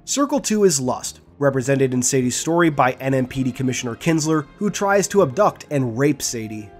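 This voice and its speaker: A man's voice: medium pitch, expressive, moderate pace. Man narrating a scripted guide over music. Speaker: video creator, host.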